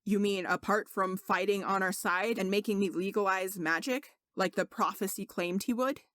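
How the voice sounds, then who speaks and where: A woman's voice: mid-range voice, fairly even intonation, normal speed, a bit bright, no room sound, lightly acted. A woman voicing a worried, doubting young king who speaks earnestly and with some exasperation to a friend in a story reading. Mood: doubtful.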